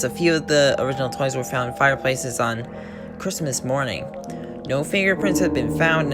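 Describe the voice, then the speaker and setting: A young man reading a creepypasta aloud in an amateur way, stumbling and correcting himself, then chatting casually about it. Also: medium pitch. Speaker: creepypasta reader. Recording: music playing behind the voice.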